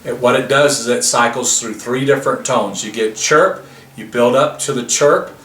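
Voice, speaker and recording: A man's voice: medium pitch, moderate pace, a bit bright. A man demonstrating a vehicle intercom system, explaining each feature step by step in a plain way, recorded outdoors beside a car. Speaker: product demonstrator. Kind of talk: product demonstration. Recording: roomy, distant mic with faint noise.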